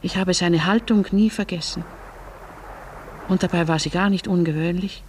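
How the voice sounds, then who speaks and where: An actress playing a grave woman who recalls things in a measured, reflective way, in a literary radio drama. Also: lowish voice, fairly even intonation, a little mellow, a little hiss or hum, natural voice. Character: Ada, grave woman quietly recalling a family quarrel.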